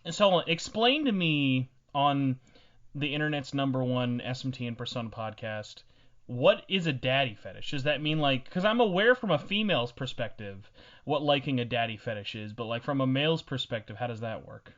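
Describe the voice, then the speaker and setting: A man's voice: mid-range voice, expressive, moderate pace, fairly bright tone, highs cut off. A young man hosting a podcast in a casual, joking, teasing way. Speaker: podcast host.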